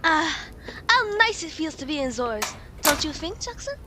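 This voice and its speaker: A woman's voice: sits high, sing-song, normal speed, noisy background. A woman voicing a chatty, wry lady guest in an amateur puppet show. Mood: chirpy.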